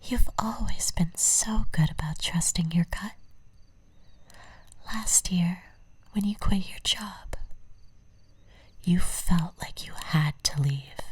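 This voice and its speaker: A woman playing an obsessive, lovesick stalker in an ASMR roleplay, whispering breathily in slow, deliberate, coaxing phrases. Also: on the low side, darkish tone, husky, dry recording.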